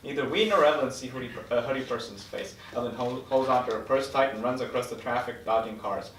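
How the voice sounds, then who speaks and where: Man, scripted narration, stage directions, medium pitch, echoey room. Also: quick pace, a little hiss or hum. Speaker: stage directions reader at a table read. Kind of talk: scripted narration read aloud. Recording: roomy echo, distant microphone.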